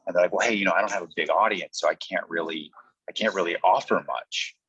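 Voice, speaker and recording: Deep-voiced man coaching, dull audio. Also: quick pace, a bit bright, husky, no echo. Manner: explanatory, acts out example pitches in quotes. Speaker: podcast coach. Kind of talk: conversational training talk.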